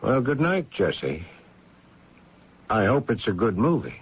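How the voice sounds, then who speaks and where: An actor playing a lonely, guilt-ridden man in a halting old-time radio drama soliloquy. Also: on the low side, sing-song, slight background noise. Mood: brooding. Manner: halting, brooding soliloquy with outcries. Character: lonely, guilt-ridden man, brooding, stricken with chest pain. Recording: dull old radio recording with music behind.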